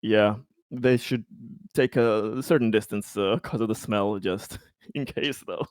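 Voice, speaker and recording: A man playing a tabletop roleplaying game, casually narrating his character's thoughts in a jokey, animated way with some swearing. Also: on the low side, a little slow, dry recording, clean studio sound.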